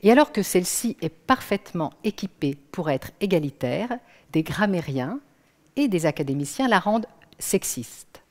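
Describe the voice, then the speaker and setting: A woman's voice: on the low side, big pitch swings, moderate pace, darkish tone, in a large hall. A woman performing a declamatory stage text with emphatic, rhythmic recitation.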